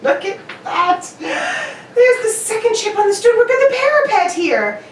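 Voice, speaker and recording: A woman's voice: extremely high pitch, very animated, measured pace, exaggerated voice. A woman playing a brilliant, exacting male detective in an old-time radio drama, with precise, theatrical delivery. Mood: triumphant, explanatory. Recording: echoey room, distant mic, faint noise.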